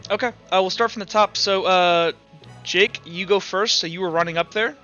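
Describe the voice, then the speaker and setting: A man's voice: high-pitched, moderate pace, bright, forceful tone, muffled recording, soft background music. A man acting as game master in a casual tabletop roleplaying session, talking players through the rules.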